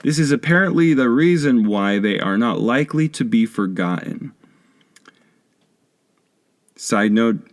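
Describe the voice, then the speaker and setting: Guy reading philosophy audiobook, medium pitched voice, formal and measured like a lecture. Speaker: audiobook reader. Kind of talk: scripted narration of a philosophy text.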